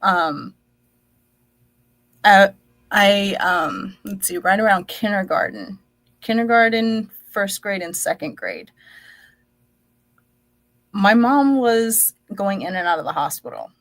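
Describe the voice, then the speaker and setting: A woman born in the United States whose first language was Dutch, reflectively telling her life story as a guest, with many pauses and fillers. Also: mid-range voice, sing-song, fairly bright tone, no room sound.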